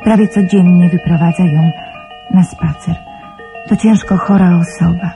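An actress playing a quiet young woman who narrates a letter in a radio drama, gentle and reflective. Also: fairly low pitch, fairly even intonation, normal speed, over music, natural voice. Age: young adult.

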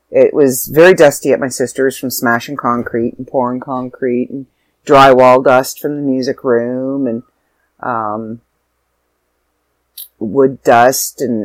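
A young woman vlogging casually from home, rambling and sidetracking, a little stuffy and hoarse with a sore throat and tired. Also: very deep voice, no room sound, poor audio. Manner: rambling, self-interrupting. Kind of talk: casual chat to camera.

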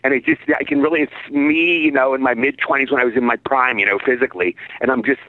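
A man in his sixties, an actor guest in a casual interview, telling stories excitably and repeating his phrases. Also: medium pitch, fast talker. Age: 60s. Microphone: phone. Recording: tinny phone line.

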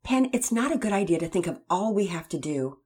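Calm wise mother, read by a woman. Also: fairly low pitch, a little fast, slightly rough. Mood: reassuring. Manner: calm, reassuring.

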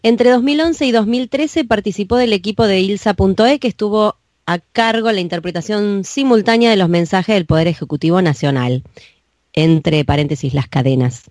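A woman's voice: mid-range voice, moderate pace, darkish tone, dry recording, clean studio sound. Chatty Argentine woman hosting a podcast. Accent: Argentine Spanish. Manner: chatty, laughs easily. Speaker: podcast host. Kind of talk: casual podcast interview.

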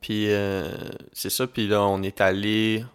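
A man's voice: on the low side, takes it slowly, clean tone. Laid-back young Québécois man chatting. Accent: Québécois French. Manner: relaxed, laid-back storytelling with English slang mixed in. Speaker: podcast co-host. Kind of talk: casual chat.

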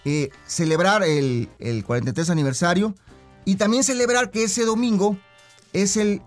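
High-voiced Mexican man, a radio director. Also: normal speed, soft background music. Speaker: broadcasting director being interviewed. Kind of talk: conversational broadcast interview.

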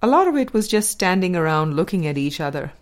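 A woman narrating a spiritual dialogue book as a scripted audiobook reading, with measured, deliberate phrasing and pauses. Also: lowish voice, sing-song. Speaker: audiobook reader.

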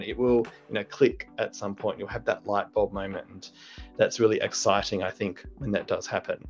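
Aussie teacher guy, earnest and thoughtful, keeps restarting, dull recording. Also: fairly low pitch. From Australia. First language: English. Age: middle-aged. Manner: earnest, reflective, with frequent restarts. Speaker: Japanese teacher, deputy principal. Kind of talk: interview answers. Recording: muffled lo-fi audio with faint music.

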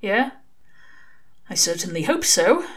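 A woman voicing an anxious, guarded male demon who talks in halting, broken-off bursts. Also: mid-range voice, sing-song, a bit bright, slightly rough, full character voice. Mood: subdued.

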